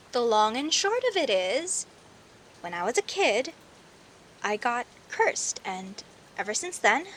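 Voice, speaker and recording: Woman acting friendly teasing cat-cursed girl next door, slow unhurried talk, rain and faint hiss behind. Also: mid-range voice, a bit bright, poor audio. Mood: sheepish, resigned. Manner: teasing, indignant, conversational with sighs and pauses. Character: friendly, teasing young woman neighbor secretly cursed as a werecat. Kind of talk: audio roleplay acting addressed to the listener. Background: rain ambience.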